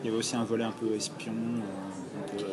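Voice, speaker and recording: Hesitant man amid loud crowd chatter. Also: fairly low pitch, level tone. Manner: hesitant, searching for words, measured opinions. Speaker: podcast guest. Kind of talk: casual chat about TV series. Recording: loud crowd noise around the voice.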